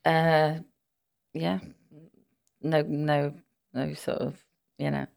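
A middle-aged woman with a Welsh-tinged Liverpool accent, a native Welsh speaker, chatting as a podcast guest. She speaks softly with short replies and frequent hesitations. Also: deep voice, flat delivery, very slow, creaky. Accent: Welsh-influenced Liverpool English. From Liverpool, England. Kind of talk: casual interview chat.

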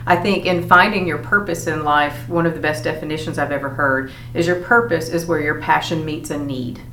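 A woman's voice: low voice, fairly even intonation, fairly quick, a bit bright. A woman teacher hosting a school podcast, moderating a panel of students in an encouraging way. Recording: echoey room, faint hum.

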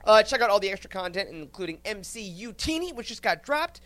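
Guy hosting a podcast, jokey and gushing, really lively up and down delivery. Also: high-pitched, fairly quick. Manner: jokey, effusive. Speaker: podcast host. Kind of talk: casual upbeat chat.

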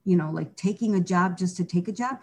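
A middle-aged woman with a slightly low voice speaks earnestly in an interview. She reflects as she goes, circling back and restarting her sentences. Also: brisk, warm tone. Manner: earnest, reflective, with frequent restarts and "you know". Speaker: guest. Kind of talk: candid personal interview.

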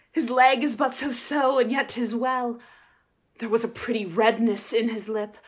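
A woman's voice: a little high, a bit bright. A woman playing a proud young shepherdess in a Shakespeare radio play, dramatic, wavering between scorn and admiration. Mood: smitten, dismissive.

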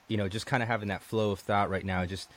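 A man's voice: lowish voice, fairly even intonation, fast talker, fairly bright tone. A man from Colombia hosting a podcast, chatting casually and restarting his sentences often. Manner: conversational, many restarts and "man". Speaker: podcast host. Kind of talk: casual interview chat.

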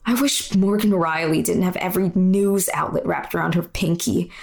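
Young, gravelly low-voiced non-binary storyteller. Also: female voice, steady tone, moderate pace, darkish tone. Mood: candid, wistful. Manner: plain, conversational first-person storytelling. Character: weary, candid non-binary young muse recounting mistreatment. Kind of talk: confessional monologue in audio fiction. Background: music under the voice.

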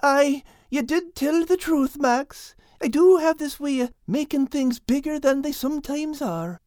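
A man voicing a big, timid, excitable male cat in an audiobook, with a wide-eyed, exclaiming manner. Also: very high-pitched, a little slow, no echo, clean studio sound, pitched above the performer's own voice. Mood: sheepish. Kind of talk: audiobook character acting.

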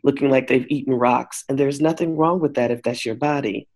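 Woman, 40s, deep voice; frank, expressive interview guest. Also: fairly quick. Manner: frank and expressive, switches into lively quoted voices. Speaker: guest, works with couples. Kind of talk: candid conversational interview.

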